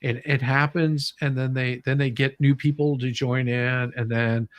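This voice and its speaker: A man's voice: medium pitch, fairly even intonation, moderate pace. A man hosting a casual livestream, rambling and repeating words as he thinks aloud. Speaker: livestream host.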